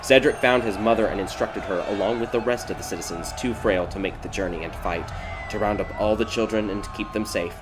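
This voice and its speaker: A man's voice: fairly low pitch, fairly quick, crowd noise, noisy background. A man reading scripted fairy tale narration as an audiobook storyteller. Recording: prominent music behind the narration. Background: music.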